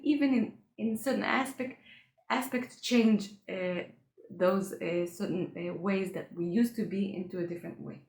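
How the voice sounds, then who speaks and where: Woman, earnest halting solo talk, restarts often, echoey room. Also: mid-range voice, darkish tone. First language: Hebrew. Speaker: coach for highly sensitive people. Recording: roomy echo.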